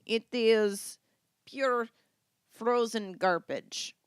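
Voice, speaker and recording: A woman putting on a blunt, deadpan character with a mock Russian accent. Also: fairly high pitch, very slow, smooth, no room sound, clean studio sound.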